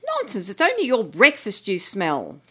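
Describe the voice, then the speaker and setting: A woman voicing the giant's wife, who brushes off her husband in a brisk, dismissive way. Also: medium pitch, huge pitch swings, husky.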